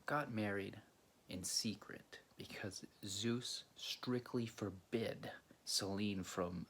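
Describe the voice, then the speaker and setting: A man from Greece hosting a video, telling a story casually and haltingly, with frequent pauses mid-sentence. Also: fairly low pitch, fairly bright tone, a little hiss or hum. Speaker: video host. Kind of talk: casual storytelling to camera or viewers.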